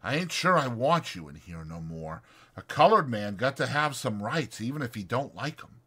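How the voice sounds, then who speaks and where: A man's voice: medium pitch, very animated, normal speed, bright, forceful tone, husky, full character voice. A man voicing a withdrawn, bitter stable hand, subdued and guarded, in a dramatized audiobook. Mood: guarded, resigned. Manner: subdued, dull, guarded, rural dialect.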